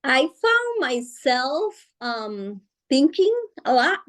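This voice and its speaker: A woman whose first language is Spanish speaks in a fairly high voice at an unhurried pace. She is an author guest in a relaxed interview, searching for words with frequent fillers and restarts. Laughter slips into her sentences as she talks. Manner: hesitant, many fillers and restarts; emotional, laughs while speaking. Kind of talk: casual interview chat.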